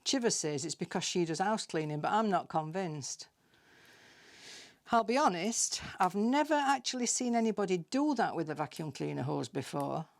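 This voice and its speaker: A woman's voice: fairly low pitch, lively intonation, moderate pace. A British woman reading a scripted comic monologue in a dry, chatty, confiding manner, like gossip. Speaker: storyteller reading own comic tales.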